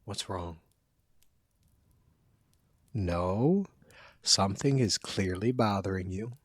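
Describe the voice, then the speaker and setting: A man's voice: lowish voice, slow pace, no room sound. A man playing a caring, protective young college friend in an intimate, one-sided audio roleplay, speaking in an earnest, conversational way. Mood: gentle concern.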